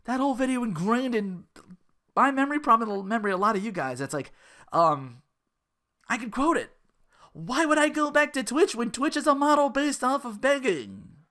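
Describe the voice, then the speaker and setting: A podcast host with a very lively, wide-swinging pitch delivers casual ranting commentary. The manner is exasperated and sarcastic, with plenty of swearing. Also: normal speed. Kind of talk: casual ranting commentary about an internet personality.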